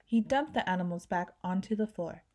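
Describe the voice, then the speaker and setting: Female storyteller for a kids' read-aloud; gentle narration, lively character voices, mellow tone. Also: fairly low pitch, brisk. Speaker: children's book reader.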